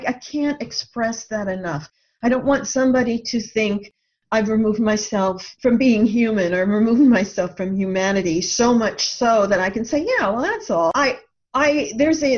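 Earnest woman, slightly dull lo-fi audio. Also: fairly high pitch. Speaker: author and podcast guest. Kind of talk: reflective explanatory talk about own ideas.

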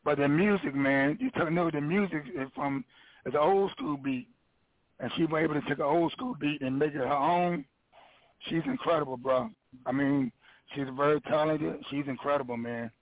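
A man's voice: fairly high pitch, normal speed, no echo. A man co-hosting a radio show, enthusiastic and slangy in casual praise. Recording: dry tinny phone line.

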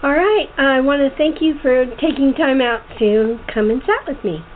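A high-pitched woman chats casually as an interview host. Her manner is conversational and chatty. She comes through a thin, phone-like line with some hiss. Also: normal speed. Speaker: podcast host interviewing a guest; writer.

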